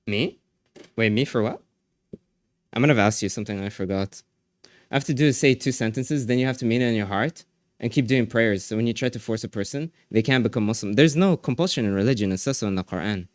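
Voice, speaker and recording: A man streaming live, casually reading and answering chat messages, often trailing off quietly at the ends of his phrases. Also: on the low side, a little fast, no echo, clean studio sound.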